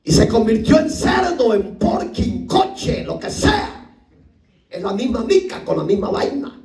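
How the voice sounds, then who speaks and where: Salvadoran pastor preaching, high voice swinging all over, sounds far off the mic in an echoey church. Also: male voice, unhurried, soft background music. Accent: Salvadoran Spanish. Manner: animated storytelling preacher, acting out voices and shouting at peaks. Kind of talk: sermon. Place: church hall.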